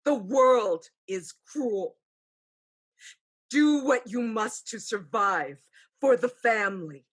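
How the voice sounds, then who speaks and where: A woman playing an elder ancestral spirit, a wise, pragmatic aunt, in a deliberate, knowing staged reading. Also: on the high side, lively intonation, husky, heavily acted. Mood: firm counsel. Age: older. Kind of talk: staged play reading, dramatic and measured.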